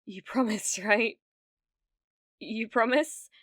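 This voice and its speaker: Close and dry, this is a high British female voice, a woman acting as a clingy, delusional young streamer in an ASMR roleplay. She pleads slowly and haltingly, stumbling over words. Her delivery swings from breathy whispers to desperate outbursts. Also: a bit bright, no echo. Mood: anxious, pleading. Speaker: ASMR voice actress.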